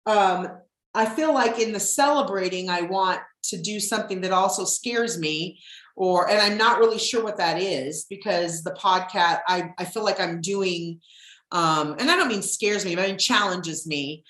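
A middle-aged woman with a low, husky voice hosts her podcast in a loose, rambling chat full of ums. Her voice rings in a noticeably echoey room. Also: normal speed, fairly bright tone. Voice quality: deep husky voice. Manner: chatty, rambling, with frequent ums. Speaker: podcast host. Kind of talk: casual chat.

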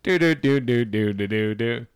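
A man's voice: mid-range voice, expressive, normal speed, smooth, no room sound, clean studio sound. A man who is a guest on a casual comedy podcast, wisecracking and playing mock-sulky.

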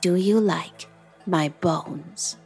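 A woman's voice: on the low side, creaky, a little mellow, breathy edge, faint music behind, exaggerated voice. An actress playing an unsettling, grandly affected young woman landlady in a horror comedy radio play. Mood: insistent. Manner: lilting, grandly affected, drawn-out words. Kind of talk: radio drama acting.

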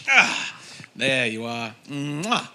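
A man's voice: mid-range voice, sing-song, drawn-out words, sharp tone, slight background noise, full character voice. A man playing a playful, doting husband with a teasing manner in an improvised comedic radio play.

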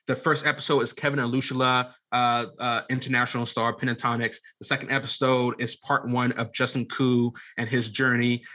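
Bright-voiced man in casual interview talk. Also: medium pitch. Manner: earnest, informal, gives advice. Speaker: podcast guest, media company CEO.

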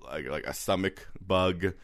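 Deep-voiced man rambling on a podcast. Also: moderate pace, no echo, clean studio sound. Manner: rambling, full of fillers and restarts, self-mocking. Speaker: podcast co-host. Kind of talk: casual podcast banter. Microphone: studio podcast mic.

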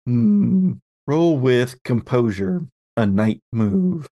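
A man runs a tabletop role-playing game. He narrates off the cuff in descriptive detail, in a mid-pitched voice. The sound is close and dry. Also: sing-song, extremely slow, warm tone, no echo, muffled recording. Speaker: game master, host.

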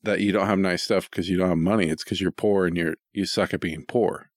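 A man's voice: sits low, steady tone, fairly quick, dry recording, studio quality. A middle-aged American man chatting casually as a podcast co-host, rambling and self-deprecating, with some easy swearing. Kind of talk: casual chat.

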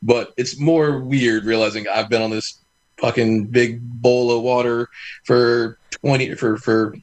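A man's voice: medium pitch, fairly even intonation, a little slow, low-quality recording. Rambling man in his late thirties. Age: 30s. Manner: rambling, with many restarts. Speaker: podcast co-host. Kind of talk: casual podcast chat.

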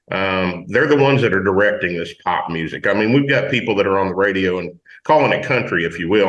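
A man with a low voice, a country singer and guest on a talk radio show, speaking bluntly in folksy words. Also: a bit bright, reverberant, dull audio.

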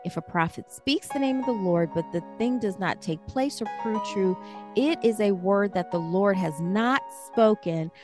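A woman's voice: medium pitch, moderate pace, over music. A woman with an American accent, speaking as a podcast co-host in an informal, wry way. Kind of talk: casual conversation and scripture reading.

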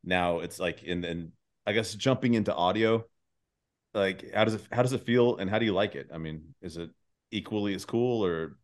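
A man's voice: low voice, normal speed. A man hosting a casual podcast interview, asking informal, meandering questions with a hesitant delivery. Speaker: podcast host.

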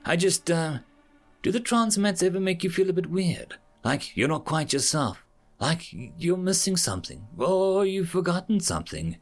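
A man's voice: high-pitched, big pitch swings, moderate pace, pitched above the performer's own voice. A man voicing Patricia, a wry, impatient young woman mechanic in a storybook reading. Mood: pensive. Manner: dry, impatient.

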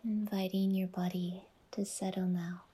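A woman guiding a relaxation meditation in a soft, whispery, soothing voice, unhurried and leaving long pauses between phrases. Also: medium pitch, level tone, breathy edge. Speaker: yoga nidra guide and podcast host.

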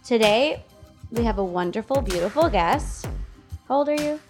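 A woman from Michigan hosting a casual podcast, upbeat and chatty with quick reactions. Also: on the high side, expressive, over music. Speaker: podcast host. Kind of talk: casual chat.

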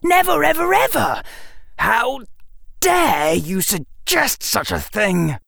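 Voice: very animated, a little slow, dry recording, clean studio sound. A performer voicing a precocious, indignant young boy, emphatic and fiercely defensive. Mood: indignant outburst. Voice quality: shaky, climbing toward shrill. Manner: emphatic, articulate, argumentative.

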